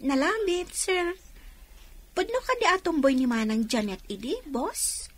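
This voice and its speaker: Curious young radio drama girl, ilocano accent, really high voice, polite and full of questions. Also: big pitch swings, a little slow, fairly bright tone, exaggerated voice. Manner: inquisitive, deferential. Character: curious, respectful young woman questioning her elders. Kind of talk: Filipino radio drama acting.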